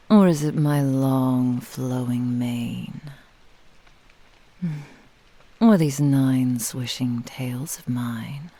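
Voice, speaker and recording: A woman voicing a vain, possessive kitsune witch in an intimate ASMR roleplay, speaking in a breathy, lingering whisper. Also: very deep voice, warm tone, exaggerated voice, poor audio. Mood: teasing, seductive. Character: vain possessive seductive female nine-tailed kitsune witch. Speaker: roleplay audio voice actor.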